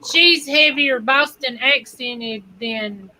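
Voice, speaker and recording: A woman's voice: high voice, slow, bright, forceful tone, poor audio. A woman with a Southern US accent co-hosting a casual chat, drawing her words out and laughing often between remarks. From North Carolina. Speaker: co-host.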